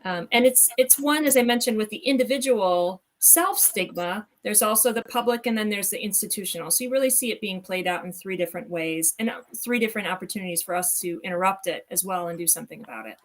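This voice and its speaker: A woman guest expert explains her points in a measured, steady way on a live online discussion. Her pitch is mid-range for a woman. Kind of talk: informative explanation.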